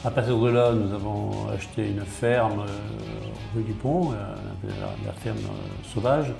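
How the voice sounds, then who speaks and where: Low, creaky-voiced man, echoey room. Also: fairly even intonation, unhurried. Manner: matter-of-fact, enumerating, repeats and rephrases. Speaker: small-town mayor being interviewed. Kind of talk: explanatory interview answers. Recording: roomy, echoey sound under guitar music.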